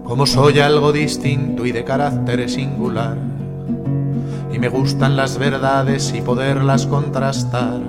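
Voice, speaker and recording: A man performs a rhymed jingle at an unhurried, rhythmic pace. His mid-range voice rides over prominent plucked guitar. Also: medium pitch, level tone, big-room sound. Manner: measured, rhythmic delivery. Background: loud acoustic guitar music.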